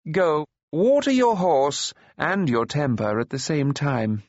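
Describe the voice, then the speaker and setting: An elderly wizard of a fantasy storybook, voiced by a male narrator, stern and impossible to ruffle. He dismisses people curtly, with pitch lifted above a plain reading voice. The recording is dry and slightly dull. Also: animated, slow pace, dry recording, heavily acted. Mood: dismissive, stern. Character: old male enchanter, unperturbed, stern and dismissive.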